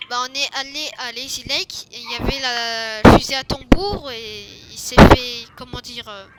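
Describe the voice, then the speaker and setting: A woman telling her character's backstory during a game roleplay, hesitating often with fillers and restarts. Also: fairly high pitch. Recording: loud background noise.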